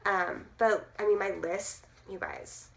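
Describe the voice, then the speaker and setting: A woman in her thirties, hoarse from losing her voice, chatting casually to camera with many fillers. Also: mid-range voice, huge pitch swings, slow pace. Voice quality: gruff. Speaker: direct sales team leader.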